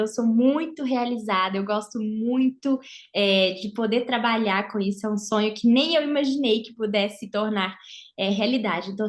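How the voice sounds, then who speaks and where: A young woman from Argentina who grew up in Brazil, speaking with a Mineiro accent as a guest in a relaxed interview, reflective and sprinkled with fillers. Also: a little high. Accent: Mineiro (Minas Gerais) Brazilian Portuguese. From Argentina, raised in Brazil. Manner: warm, reflective, with frequent filler "é" and "né". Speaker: guest, social entrepreneur. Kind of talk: casual interview conversation.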